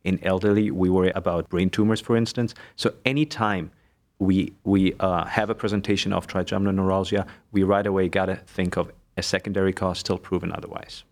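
A man from Egypt, a neurologist, giving measured technical explanations as a guest on a medical podcast. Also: low-pitched, fairly even intonation. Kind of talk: expert medical interview.

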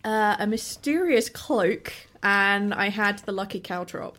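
A woman's voice: medium pitch, animated, unhurried, a bit bright. A woman chatting casually with friends in a playful, jokey way. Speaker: cast member of an audio drama show. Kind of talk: casual chat.

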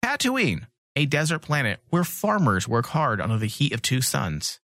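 A man with a very lively, mid-pitched voice hosts a casual fan podcast. He banters in a mocking, foul-mouthed way, and the sound is close and dry. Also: moderate pace, no room sound. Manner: sweary, mocking, animated. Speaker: podcast host.

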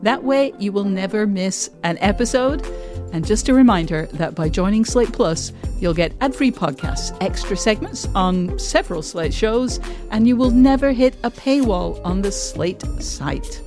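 A woman's voice: medium pitch, animated, a little slow, music in the background. A woman co-hosting a podcast, speaking in a conversational style. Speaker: podcast co-host.

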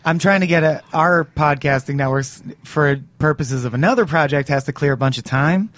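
A man guesting on a podcast, with a gravelly, fry-heavy voice hoarse from podcasting all day; he jokes and laughs often. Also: a little high, moderate pace, a bit bright.

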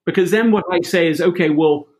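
A man's voice: high-pitched, brisk, a little mellow, rough recording. A man hosting a conversational interview, reflecting on his guest's points and explaining his own coaching approach. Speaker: podcast host and coach.

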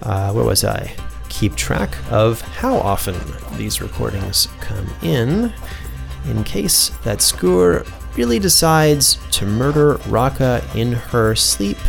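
An actor playing a tired, absent-minded archivist in a fantasy audio drama, muttering creakily to himself. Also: male voice, mid-range voice, big pitch swings, slow, music in the background, exaggerated voice. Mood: musing, worried.

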